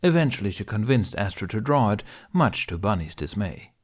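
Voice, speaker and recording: A man's voice: on the low side, very animated, a little fast. A man reading a fantasy story aloud as scripted narration. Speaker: podfic narrator.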